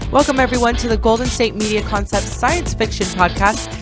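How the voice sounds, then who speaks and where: A woman hosting a podcast in a casual, halting, self-interrupting way. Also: fairly high pitch, music in the background. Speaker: podcast host. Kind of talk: casual entertainment discussion.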